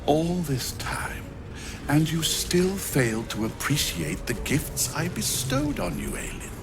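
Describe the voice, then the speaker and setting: An actor playing a vain, menacing necromancer villain in a video game, speaking in a theatrical, condescending, drawn-out way. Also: male voice, a little high, sing-song, noisy background, heavily acted. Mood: silky, condescending. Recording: loud music behind the voice. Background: game soundtrack music.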